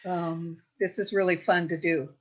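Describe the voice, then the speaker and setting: A woman's voice: fairly low pitch, fairly even intonation, unhurried, husky. A woman from a theatre group speaking casually in a discussion, over slightly muffled call audio. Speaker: theatre director and participant.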